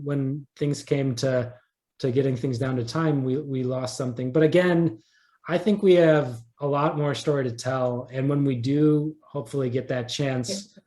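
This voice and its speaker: A man talking casually as an interview guest, a writer thinking aloud in halting phrases. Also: mid-range voice, darkish tone. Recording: roomy, echoing sound.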